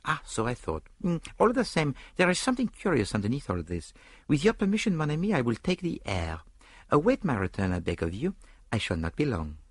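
A man plays a courtly foreign detective from an audiobook mystery. He speaks with a French accent, dry and deliberate, with a mocking edge and very animated pitch in a close, dry recording. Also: slightly rough, dry recording, heavily acted. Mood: musing.

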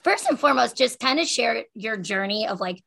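Effusive woman podcast host with creaky, higher-pitched voice. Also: animated, fairly quick, sharp tone. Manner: effusive, giggly. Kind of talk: casual chatty interview between friends.

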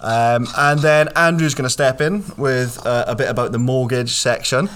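A man's voice: medium pitch, normal speed, a little hiss or hum. A man co-hosting a property podcast, chatting casually and loosely with plenty of fillers. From Wales. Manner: relaxed, rambling with fillers. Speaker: podcast co-host.

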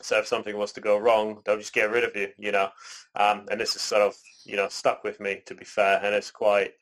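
A man raised in Canada, co-hosting a podcast in relaxed casual chat, rambling with lots of "you know" and "sort of.". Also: on the low side, brisk. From born in Poland, raised in Canada. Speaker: podcast co-host.

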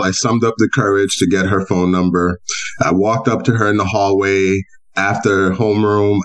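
A man hosting a podcast, chatting casually and telling stories with playful asides and lots of fillers. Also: fairly low pitch. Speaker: podcast host. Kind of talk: casual interview conversation.

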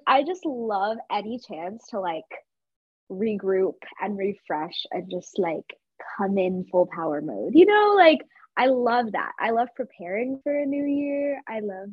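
A young woman from Georgia, co-hosting a podcast in a bubbly, excitable casual chat. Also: medium pitch, slightly rough, dry recording. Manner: bubbly, excitable, talks with lots of fillers. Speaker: podcast co-host. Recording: dull lo-fi sound.

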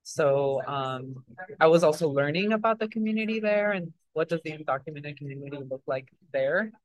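A man's voice: fairly high pitch, animated, normal speed, no echo, dull audio. A young man from Mexico, answering interview questions in a loose, rambling way with many fillers and restarts. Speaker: interview guest. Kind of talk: casual interview talk.

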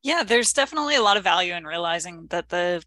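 A woman's voice: on the low side, expressive, quick pace, bright, forceful tone, dry recording, studio quality. A woman speaking as a podcast co-host in a conversational discussion, with articulate, thoughtful phrasing.

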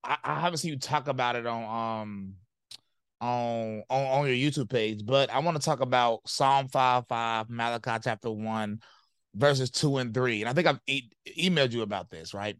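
A man's voice: medium pitch, moderate pace, a bit bright, clear, resonant tone, no room sound, clean studio sound. A man interviewing a guest, asking questions in a casual, hesitant way with many fillers.

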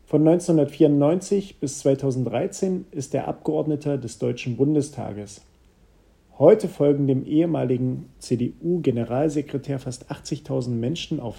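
Dark, gravelly-voiced male podcast host. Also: medium pitch. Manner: reads from a script. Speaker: podcast host introducing the speaker. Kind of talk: scripted introduction.

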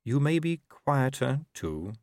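An urbane storybook ruler, voiced by a man, patient and quietly sardonic. He speaks formally and deadpan, slowly measured, with wide swings of pitch. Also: no echo, studio quality. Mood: dry, patient.

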